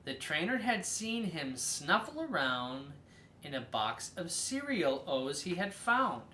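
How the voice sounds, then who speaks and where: An expressive storybook reader hosting a read-aloud for children. The delivery is unhurried and theatrical, with a medium-pitched voice that swings widely and leans on key words. The room adds a clear echo. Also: a little hiss or hum. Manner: theatrical, slow and drawn-out with emphatic stresses.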